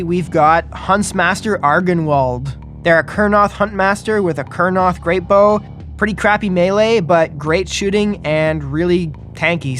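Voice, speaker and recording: A man's voice: high voice, a bit bright. A man with a North American accent chatting casually and playfully as host and player of a tabletop game. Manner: slangy, playful. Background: faint music.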